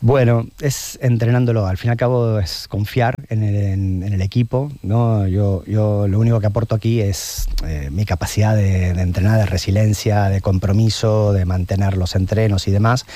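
Argentine man, 50s, low mellow voice, dry close sound, measured and reflective interview guest. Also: steady tone, no room sound. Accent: Argentine Spanish. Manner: thoughtful, measured, ends points with "¿no?". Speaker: guest, long-distance swimmer.

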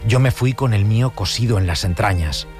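A man reading a literary passage aloud as a grave narrator of an exile story, slow and solemn. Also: lowish voice, a little mellow, voice pushed down, lightly acted. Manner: slow, solemn recitation over music. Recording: reading over music.

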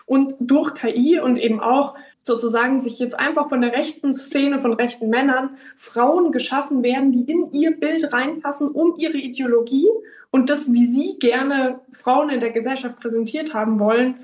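A young woman in her twenties speaks earnestly in an interview, circling back and restarting as she searches for words. Her voice sits somewhat high, and she is recorded from a little way off the microphone. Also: moderate pace, a little mellow, far from the mic. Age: 20s. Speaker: local politician and activist. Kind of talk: interview statement.

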